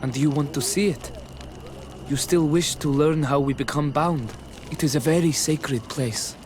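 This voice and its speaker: Higher-voiced, solemn young tribesman played by a man. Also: a little slow, a little mellow, close to an everyday voice. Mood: guarded. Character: wary young native tribesman apprentice, solemn but friendly. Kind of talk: fantasy game dialogue acting. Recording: noticeable outdoor background noise.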